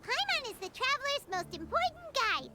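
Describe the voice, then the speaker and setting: Tiny squeaky game fairy girl, super high, swoops everywhere, huffy little guide. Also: sing-song, normal speed, very noisy. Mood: eager, proud. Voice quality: squeaky, childlike. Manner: speaks of self in third person, exclaiming.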